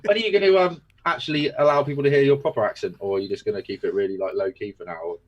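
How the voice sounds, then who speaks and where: A man joining a casual chat, quick and sweary, laughing as he talks. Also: medium pitch, fairly even intonation, lo-fi sound.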